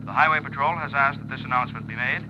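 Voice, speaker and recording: An actor playing a formal, impersonal newsreader giving a 1950s radio bulletin. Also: male voice, medium pitch, fast, natural voice. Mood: urgent, formal. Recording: muffled noisy 1950s radio, engine-like drone.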